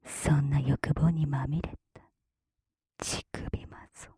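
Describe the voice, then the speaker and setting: A woman speaks in a very airy, hushed whisper for adult ASMR. Her delivery is unhurried, teasing and softly commanding. The sound is dry and intimate, right at the microphone. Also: low-pitched, steady tone, slightly rough, dry recording. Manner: slow, teasing, gently commanding big-sister persona. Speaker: erotic audio performer. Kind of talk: whispered erotic ASMR roleplay. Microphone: close mic, dry.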